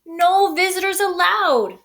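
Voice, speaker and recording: A woman's voice: very high voice, expressive, slow pace, a bit bright, pitched above the performer's own voice, heavily acted. A woman voicing a blustering, weepy, lonely male bear in a children's storybook, exclaiming emotionally. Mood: sniffling, grudging. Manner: emotional, exclamatory, blubbering and wailing. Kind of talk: children's storybook character reading.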